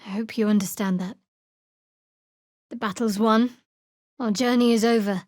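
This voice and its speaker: Woman acting a guarded young dark priestess for a video game, lightly high voice, dry wit, measured, really dry studio sound. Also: steady tone, no room sound, natural voice. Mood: somber. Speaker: fantasy adventurer companion. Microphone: close, dry studio mic.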